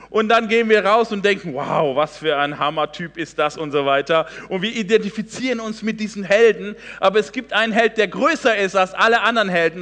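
A man's voice: high-pitched, sharp tone, long reverb tail. A man in his forties, from Hesse, giving a sermon as a guest preacher, earnest and emphatic, with frequent fillers and restarts. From Hesse, Germany. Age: 40s.

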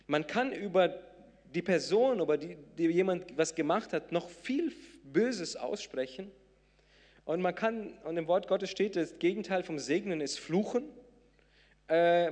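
A slightly high-voiced man preaches in German to his congregation. Portuguese is his first language. He talks in a loose, chatty way, full of fillers and restarts, and swells into emphatic bursts. The voice rings with the long reverb of a church hall. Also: darkish tone. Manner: conversational, many fillers and restarts, rising to emphatic. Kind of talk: sermon with a personal story.

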